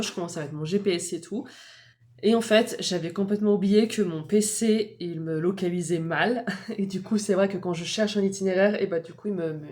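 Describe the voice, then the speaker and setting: A woman in her thirties talking casually and candidly in a personal vlog, with many fillers and light laughs. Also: mid-range voice. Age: 30s. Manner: chatty and candid, with frequent fillers and soft laughs. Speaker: vlogger. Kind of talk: casual confiding vlog chat.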